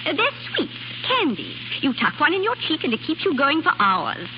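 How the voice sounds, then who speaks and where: A woman's voice: high-pitched, huge pitch swings, normal speed. A woman playing a chatty, cheeky but deferential housemaid in a 1930s radio drama. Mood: cheeky, explaining. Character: chatty, cheeky English housemaid named Rose. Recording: noisy, muffled 1930s radio recording.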